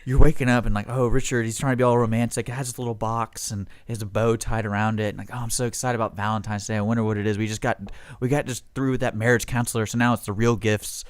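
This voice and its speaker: A man's voice: medium pitch, flat delivery, fast, no room sound, clean studio sound. An American man co-hosting a radio show in casual, teasing, joking conversation. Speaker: radio co-host. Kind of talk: casual chat.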